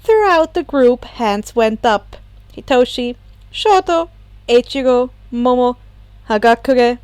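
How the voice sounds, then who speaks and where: A woman's voice: on the high side, lively intonation, takes it slowly, darkish tone, smooth, no room sound. A woman reading fan fiction aloud as an amateur audiobook narrator.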